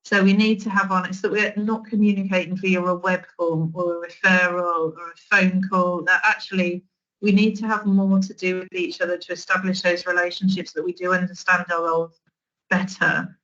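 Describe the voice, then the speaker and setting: A woman's voice: on the low side, flat delivery, moderate pace, fairly bright tone, muffled recording. A woman in her forties, a social work trainer, giving an online training talk in a conversational way, with restarts and fillers.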